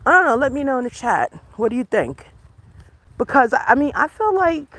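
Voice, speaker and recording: A woman from the United States hosts a casual livestream and rambles to her viewers. She talks in a loose, animated way, full of asides. She is recorded outdoors while out on a walk. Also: sits high, moderate pace. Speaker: livestream host. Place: outdoors on a walk, rural or natural setting.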